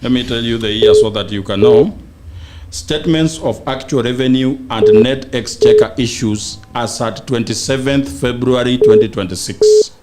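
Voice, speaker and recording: A man with a Kenyan accent, a panel guest explaining details haltingly as he searches for words. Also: mid-range voice, noisy background. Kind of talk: explaining figures in a political discussion.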